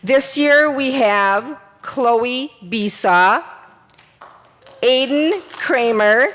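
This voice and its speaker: A woman's voice: on the high side, fairly bright tone, big-room sound. A woman emceeing a community stage event, talking through a voice she says she is losing. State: losing her voice. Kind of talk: stage announcements.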